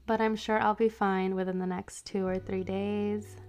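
A woman hosting her own reflective podcast, congested from a cold and speaking slowly and gently as she reads her own poetry. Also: medium pitch, level tone, smooth, soft background music. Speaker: podcast host.

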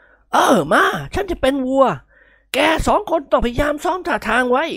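A reader voicing a gruff, pompous old nobleman who barks and scolds in an audiobook. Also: high voice, moderate pace, fairly bright tone, dry recording, studio quality, voice pushed up. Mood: stern. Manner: barking, blustery scolding. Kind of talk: audiobook character voice.

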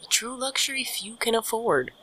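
A woman's voice: fairly high pitch, expressive, normal speed, sharp tone, slight background noise, poor audio. A woman playing a young, caring friend with dry, casual banter in an audio drama. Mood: wry, easy. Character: young Micah, teasing, caring friend and host. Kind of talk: naturalistic audio drama acting.

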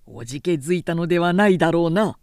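A woman's voice: fairly low pitch, animated, quick pace, darkish tone, no echo, studio quality. A woman voicing a lordly male clan commander in a storybook, haughty and probing in archaic speech. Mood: suspicious, probing.

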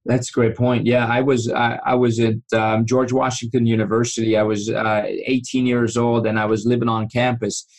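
A man's voice: mid-range voice, level tone, moderate pace, few pauses. A man in his forties from Iran, a guest on an interview, earnestly recounting memories and often restarting his sentences. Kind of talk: storytelling interview.

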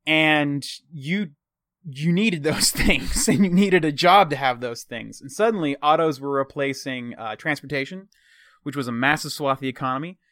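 A man's voice: on the high side, normal speed, a bit bright, no room sound, clean studio sound. A man with a slight Texan accent, casually improvising a fictional world's history as a show's host, rambling and correcting himself as he goes.

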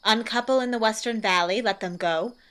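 A woman's voice: on the high side, a little fast, fairly bright tone, lightly acted. A woman playing a commanding, gracious noble ruler in a Shakespearean radio play.

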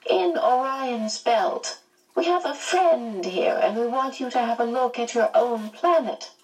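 A woman's voice: fairly high pitch, measured pace, darkish tone, recorded from a distance, pitched above the performer's own voice, natural voice, poor audio. A woman voicing a cheerful, chatty, motherly old woman from another world in a storybook, fussing and reassuring. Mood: reassuring, brisk. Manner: fussy, reassuring, rambling.

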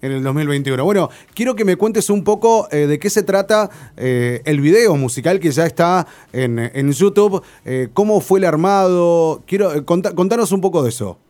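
A man hosting a radio interview, asking questions in a relaxed way with many hesitant fillers. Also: fairly high pitch, normal speed, few pauses. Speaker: radio host.

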